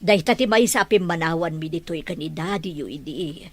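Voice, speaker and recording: A woman's voice: low voice, moderate pace, no room sound. A woman playing a patient, reasoning mother in an Ilocano audio drama. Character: patient, reasoning mother staying in a remote village.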